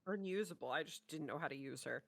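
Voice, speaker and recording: A woman co-hosting a reaction stream in casual banter, expressive and self-deprecating in how she talks. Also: low voice, fast talker, creaky.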